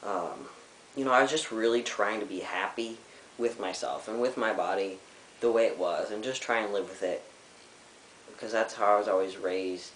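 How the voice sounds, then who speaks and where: A young man telling his personal story to a webcam at home, candid and reflective, pausing often with ums. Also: on the low side, fairly bright tone, echoey room, slight background noise, low-quality recording. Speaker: vlogger.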